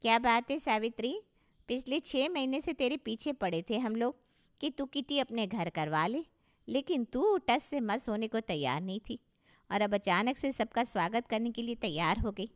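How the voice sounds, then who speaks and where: A woman's voice: a little high, animated, normal speed, close to an everyday voice. A woman playing a nosy, blunt older mother-in-law in a cartoon story, inquisitive and bossy. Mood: curious. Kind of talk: Hindi cartoon story dialogue.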